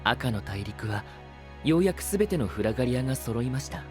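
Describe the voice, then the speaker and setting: A devoted gentleman knight acted by a man in an anime audio drama. His mid-pitched voice is formal and composed yet richly inflected, with music clearly underneath. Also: very animated, moderate pace, lightly acted. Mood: solemn, hopeful. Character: courteous, loyal gentleman knight, calm and devoted. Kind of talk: Japanese anime-style voice drama acting.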